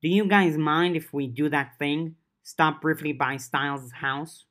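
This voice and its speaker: A narrator voicing a brisk, wary teenage girl leader with a blunt, firm manner. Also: mid-range voice, a bit bright, close to an everyday voice.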